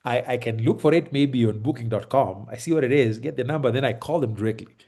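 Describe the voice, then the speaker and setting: A Kenyan man with a Kenyan accent giving relaxed, reassuring advice on a video call, with lots of fillers and restarts. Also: medium pitch, fast, slightly rough. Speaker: host advising on moving to Kenya. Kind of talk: casual advice chat on a call. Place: home in Kenya.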